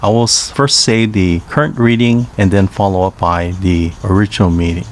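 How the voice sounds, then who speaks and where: A man narrating a language lesson in a careful, scripted way, reading pairs of names slowly. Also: low-pitched, fairly even intonation, slight background noise. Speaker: language teacher.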